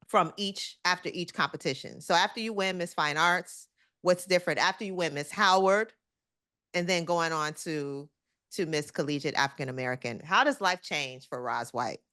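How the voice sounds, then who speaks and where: A woman hosting an interview, asking eager, quick questions. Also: on the low side, expressive, pushed and bright, no echo, studio quality. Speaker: host. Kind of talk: interview and channel promo.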